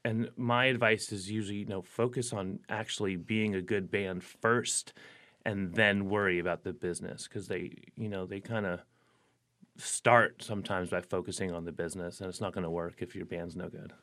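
A man's voice: deep voice, steady tone, moderate pace, a bit bright. A man, a musician, talking casually in an interview, filling his sentences with "like" and "you know.". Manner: rambling, lots of "like" and "you know". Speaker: musician guest.

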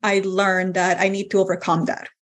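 A woman born in Colombia speaks as a podcast guest. She talks at a middle pitch, thinking aloud and leaning on "like" as she goes. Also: little pitch movement, normal speed. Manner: reflective, frequent "like" fillers. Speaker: guest, engineer and real estate investor. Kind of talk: podcast interview answers.

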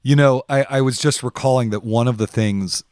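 A man hosting an interview podcast, chatting informally and thinking his questions out loud. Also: medium pitch, brisk, no echo. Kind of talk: casual interview chat.

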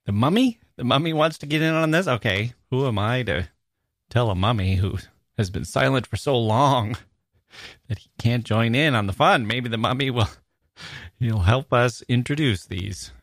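A man's voice: medium pitch, moderate pace, no echo, clean studio sound. A man hosting a podcast, reading copy and mail in a casual, playfully mock-serious way. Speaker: podcast host. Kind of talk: casual, scripted ad reads and listener email reading.

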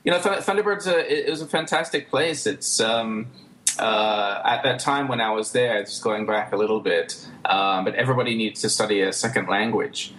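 Relaxed low-voiced man, hesitant. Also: normal speed, rough recording. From United States. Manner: relaxed, hesitant, laughs easily. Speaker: interview guest. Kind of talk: casual interview talk.

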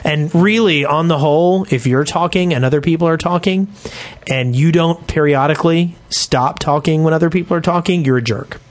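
A man's voice: on the high side, normal speed. A man co-hosting a podcast in casual chat, speaking bluntly and jokingly with plenty of opinions. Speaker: podcast co-host.

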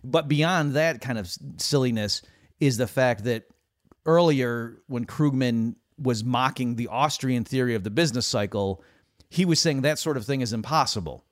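A man's voice: medium pitch, normal speed, no echo, clean studio sound. A man co-hosting a conversational podcast discussion, talking animatedly and quoting others in put-on voices. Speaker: co-host.

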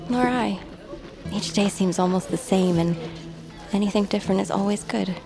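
A woman playing a kind, demure young market woman in a fantasy audio drama, gentle and formally spoken. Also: medium pitch, moderate pace, creaky, over music, natural voice. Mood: gentle, shy.

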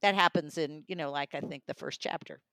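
A woman's voice: deep voice, brisk, a bit bright, dry recording. A woman talking enthusiastically and emphatically in a conversational book review. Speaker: audiobook reviewer.

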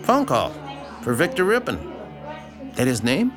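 Folksy, animated small-town guy. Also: a little high, a little slow, music in the background, a crowd in the background. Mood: matter-of-fact. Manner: folksy, wry. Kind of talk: audio drama acting.

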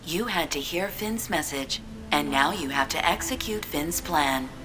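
Polite, low-voiced computer assistant. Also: female voice, animated, over music, poor audio. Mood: polite, measured. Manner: formal, measured, matter-of-fact. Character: polite, obedient female facility AI assistant. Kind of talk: scripted audio drama.

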